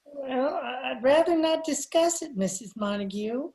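A man's voice: extremely high pitch, expressive, a little slow, darkish tone, exaggerated voice. An older man playing a timid, mild-mannered radio director in an amateur old-time radio comedy. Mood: flustered. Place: living room by staircase, video call. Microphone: video call.

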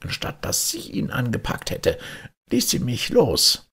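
A man voicing a grateful, crafty ghost from a fairy tale in a thin, breathy, plaintive voice. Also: medium pitch, huge pitch swings, unhurried, fairly bright tone, voice pushed up, full character voice.

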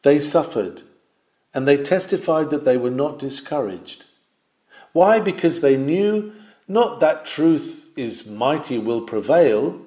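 A man's voice: a little high, warm tone. Echoey exhorting male sermon reader. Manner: exhorting, rising and falling emphasis. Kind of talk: solemn sermon reading.

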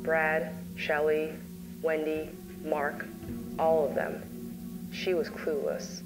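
A woman narrating an analog horror story in a slow, weary, grave voice. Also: sits low, rough recording. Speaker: narrator, therapist. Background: music.

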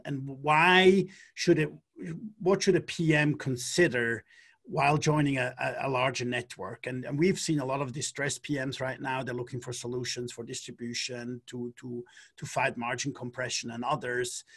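A man in his forties hosts an online panel over a computer mic. He sounds upbeat and speaks at a middle pitch, doubling words and dropping in fillers as he goes. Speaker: panel moderator. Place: home office, video call.

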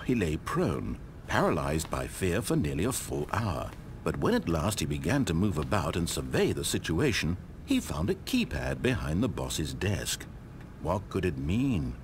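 A man's voice: deep voice, slight background noise, heavily acted. An actor playing a droll, pompous male game narrator, theatrical and wry in his scripted storytelling. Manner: theatrical, wry, mock-dramatic.

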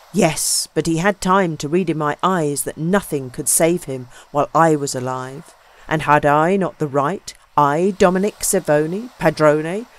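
A reader doing a proud Corsican sea captain, an older man, with a fierce, declaiming voice. He pushes his words out loud and bitter, with a steady mid-range pitch behind the anger. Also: lightly acted.

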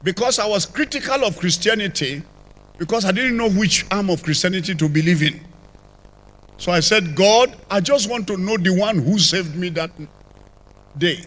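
An older man with a Nigerian accent, telling his conversion testimony as a church apostle, with sudden rises and drops in loudness, on a handheld mic in a large church. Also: high-pitched, normal speed. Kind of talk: testimony sermon. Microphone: handheld microphone.

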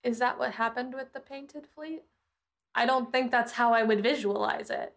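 Creaky, higher-voiced woman gaming. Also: moderate pace. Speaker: tabletop role-playing player. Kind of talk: casual in-game conversation.

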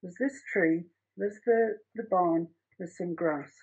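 Woman, middle-aged, husky, chatty art teacher, home studio, dull lo-fi sound. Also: on the low side, slow, a little mellow. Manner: chatty, rambling, practical. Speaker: painting teacher. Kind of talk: painting tutorial. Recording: muffled lo-fi home recording.

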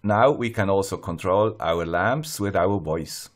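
A man with a fairly low voice and a Swiss accent narrates a scripted tutorial. He explains things step by step in a measured, plain-spoken manner. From Switzerland. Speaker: host of an electronics tutorial channel.

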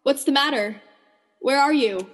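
A woman's voice: sits high, unhurried. A woman playing an anxious lone radio operator calling out in a radio drama. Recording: long echoing reverb.

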